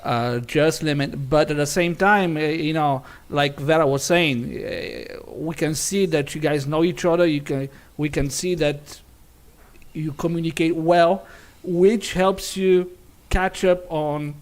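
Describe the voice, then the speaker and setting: A man, a pianist on a jury panel, giving critique in a halting way with frequent restarts. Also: fairly high pitch. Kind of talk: spoken critique.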